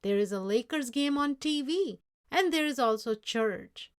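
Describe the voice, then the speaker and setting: A woman's voice: high-pitched, expressive, measured pace, smooth, voice pushed up. A woman acts out a young grandson in a children's storybook. He is eager and earnest, easily worried, with a pleading edge to his words. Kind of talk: storybook character dialogue.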